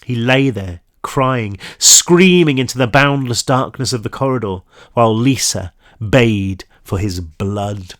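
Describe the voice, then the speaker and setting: A man with a stuffy, congested nose reading his own horror novel aloud slowly and deliberately, then chatting with fillers between passages. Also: mid-range voice. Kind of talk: dramatic horror book reading.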